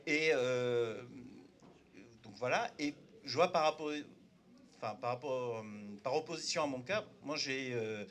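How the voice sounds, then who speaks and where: Guy from turkey, turkish his first language, speaking up at a debate, lots of ums and restarts, mid pitch. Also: measured pace, fairly bright tone. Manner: hesitant, lots of fillers and restarts. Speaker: audience member speaking up. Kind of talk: spontaneous debate remark.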